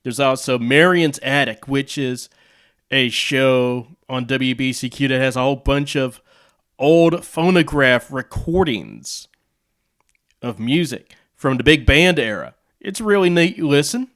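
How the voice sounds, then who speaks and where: A man's voice: mid-range voice, animated, measured pace, a bit bright. An American man explaining things casually and off the cuff, with rambling, conversational asides. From United States. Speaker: video host, radio hobbyist.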